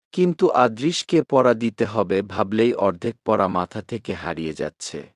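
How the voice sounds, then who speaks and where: A man narrating a romantic story from a script in a measured, expressive reading style. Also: on the low side, dry recording, clean studio sound.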